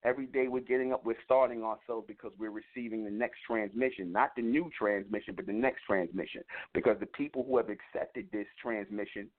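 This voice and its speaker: A man's voice: on the low side, a little fast, few pauses. A man hosting an internet radio show, delivering a repetitive, emphatic spiritual teaching monologue. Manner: preaching, repetitive emphatic phrasing. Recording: tinny phone line.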